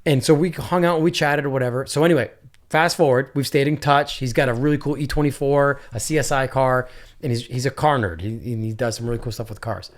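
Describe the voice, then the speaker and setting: A man's voice: mid-range voice, fast talker. A man hosting a podcast, casually telling a long story and acting out the people in it. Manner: animated storyteller, acts out quotes. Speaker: podcast host.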